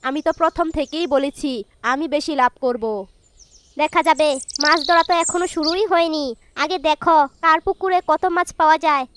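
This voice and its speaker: Very high-voiced village wife played by a woman, birdsong. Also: fairly even intonation, normal speed, a bit bright, a little hiss or hum. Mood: boastful, competitive. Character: competitive young village wife, boastful but affectionate. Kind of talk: Bangla cartoon voice acting.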